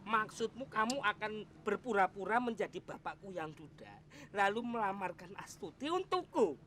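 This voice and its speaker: A man's voice: high voice, animated, moderate pace. A man with a Central Javanese accent, eager and flustered, acting in a TV sitcom with music behind.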